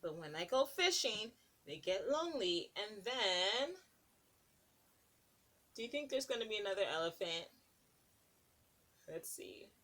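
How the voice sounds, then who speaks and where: A woman's voice: mid-range voice, very animated, unhurried, pauses often, pushed and bright. Sing-song woman reading to preschoolers. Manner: sing-song, asks children questions between pages. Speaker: preschool teacher. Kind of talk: picture book read-aloud for children.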